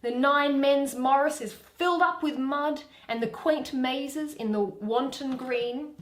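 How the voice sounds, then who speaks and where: A woman voicing a proud, indignant fairy queen in measured, declamatory Shakespearean verse. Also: high voice, echoey room. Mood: aggrieved.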